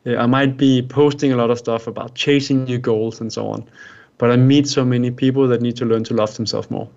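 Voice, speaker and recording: A man's voice: mid-range voice, fairly even intonation, a little fast, creaky, a little mellow. A man from Denmark, whose first language is Danish, answering interview questions about life coaching in an earnest, advice-giving way. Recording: dull lo-fi sound.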